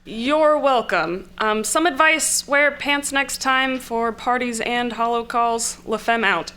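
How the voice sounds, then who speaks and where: A woman playing a brisk, unimpressed, no-nonsense space detective, dry and official, in a comic sci-fi audio drama. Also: on the high side, sharp tone, smooth.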